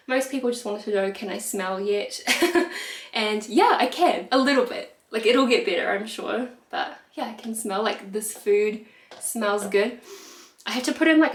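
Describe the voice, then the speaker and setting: A young woman with a New Zealand accent talks in a loose, chatty way, full of fillers. Her nose is blocked, so her voice sounds nasal and stuffy. She is recorded in a kitchen with hard walls that make the sound echo. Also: medium pitch. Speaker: vlogger. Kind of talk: casual chat. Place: home kitchen. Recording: echoey room.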